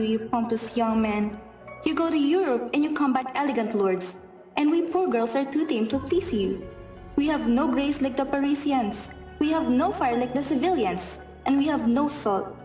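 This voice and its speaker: A woman's voice: sits high, a little mellow. A woman playing a proud, haughty woman in an amateur radio drama, with a theatrical, emphatic delivery. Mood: indignant, scornful. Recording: distant, echoey room, faint music.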